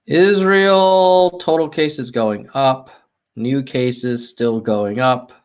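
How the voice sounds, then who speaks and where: American man, livestream host, slow unhurried delivery, lots of ums, talks to chat. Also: medium pitch, smooth. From United States. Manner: musing aloud, frequent ums and self-corrections; reads viewer chat aloud. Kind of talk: casual data walkthrough on livestream.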